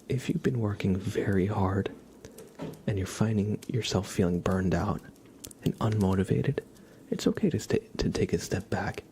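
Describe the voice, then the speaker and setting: A man hosting a casual ASMR livestream, chatting and reading cards in a rambling, soft-spoken way that drifts into whispering. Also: on the low side. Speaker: livestream host. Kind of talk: casual live ASMR chat with tarot card readings. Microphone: headset. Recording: clear background noise.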